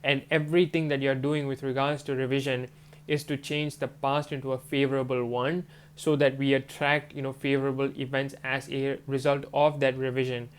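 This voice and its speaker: A man's voice: medium pitch, little pitch movement, normal speed, clear, resonant tone. A man, a manifestation coach, talking casually and rambling with lots of fillers, his voice stuffy from a cold. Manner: rambling, many fillers like "you know" and "um". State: congested from a stuffy nose and swollen throat. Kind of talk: casual talk to camera.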